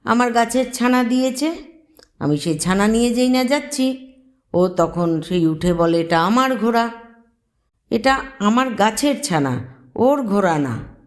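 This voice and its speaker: A woman's voice: a little high, animated, unhurried, pauses often, voice pushed up. A woman voicing a brazen, bullying male horse thief in a children's folk tale, blustering and insistent. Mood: brazenly insistent.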